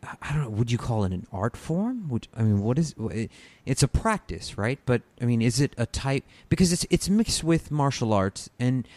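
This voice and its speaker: A man hosting a podcast, asking questions in a halting way with many restarts. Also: mid-range voice, very animated, a little mellow, no room sound. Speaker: podcast host. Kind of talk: interview questions.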